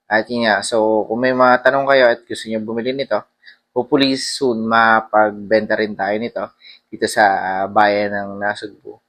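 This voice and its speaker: Low-voiced man vlogging casually. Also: little pitch movement, moderate pace, a bit bright. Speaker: motorcycle vlogger. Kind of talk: casual vlog product review, mixing Tagalog and English.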